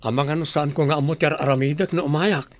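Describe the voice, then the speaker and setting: A man performs an earnest young man from the village in an Ilocano radio drama. His voice sits a little high, with an Ilocano accent and a sincere manner. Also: fairly even intonation, quick pace, lightly acted. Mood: curious.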